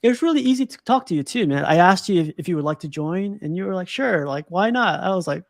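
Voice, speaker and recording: A man with a slightly high, gravelly voice hosts a relaxed stream chat. He is enthusiastic, laughs often and keeps calling his guest "man." The sound is dry and close. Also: fast, no echo. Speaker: stream host. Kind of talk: casual chat.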